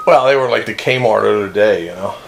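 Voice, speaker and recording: A man's voice: lowish voice, brisk. A man chatting casually as a radio hobbyist. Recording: clearly noisy radio-chat recording.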